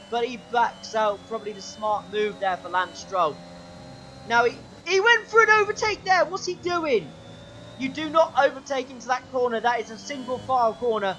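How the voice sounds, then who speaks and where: A man commentating his own racing game run, excitable play-by-play with a sore, strained throat. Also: a little hiss or hum, low-quality recording. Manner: excitable play-by-play, pitch jumps when overtaking. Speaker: gaming channel host.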